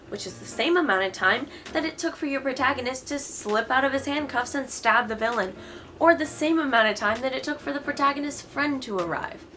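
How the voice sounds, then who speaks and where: A woman's voice: sits high, pushed and bright, slight background noise. A woman hosting a writing advice video, giving opinionated commentary in an informal, sarcastic way. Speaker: host of a writing advice channel.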